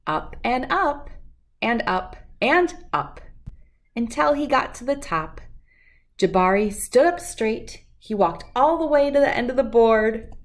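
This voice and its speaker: A woman speaking as a children's read-aloud host, expressive and storybook-like, with drawn-out emphasis. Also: a little high. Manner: slow, animated delivery with drawn-out emphasis for young listeners.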